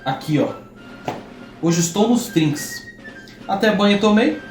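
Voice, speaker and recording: A man with a Brazilian accent plays a vain, self-important old Bulgarian major who brags about his rank and waves off every question. The voice sits fairly high. It rings around an echoey room with faint music underneath. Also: lively intonation, takes it slowly, darkish tone. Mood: haughty. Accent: Brazilian Portuguese. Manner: boastful, lofty, dismissive. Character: pompous vain older Bulgarian major, a father. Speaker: acting student. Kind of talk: mock-documentary stage acting.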